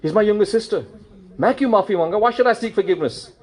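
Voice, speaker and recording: A man giving a mosque sermon, animated and full of rhetorical questions, slipping in Urdu and Punjabi phrases. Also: sits high, quick pace. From United Kingdom. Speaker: preacher.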